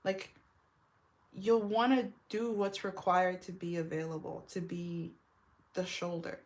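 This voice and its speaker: A young woman with a deep smoker's voice, giving a casual tarot reading and rambling with lots of "like". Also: level tone, a little slow, a little mellow. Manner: rambling, heavy use of "like", laughs often. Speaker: tarot reader.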